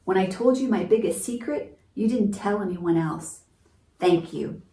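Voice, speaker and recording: A woman voicing a young male cat from a picture book, earnest and grateful, with an expressive, deliberate read-aloud delivery for children. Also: mid-range voice, natural voice. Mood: warmly grateful. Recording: roomy, distant mic, faint noise.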